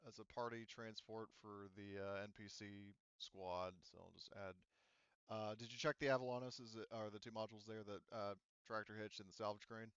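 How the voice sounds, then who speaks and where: A man's voice: lowish voice, little pitch movement, a little fast, a bit bright, smooth, dry recording, muffled recording. A man casually running a tabletop game as game master, hesitating and thinking aloud as he gives rulings.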